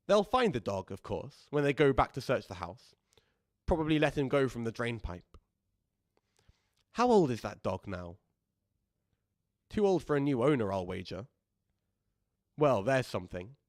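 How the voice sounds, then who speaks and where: A rambling, callous old widower, close and dry. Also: male voice, medium pitch, sing-song, a little slow, long pauses, no echo. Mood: resigned, bitter. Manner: rambling, pedantic, self-justifying. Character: callous, aggrieved old widower justifying his petty cruelty. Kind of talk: dramatic radio monologue, confiding storytelling.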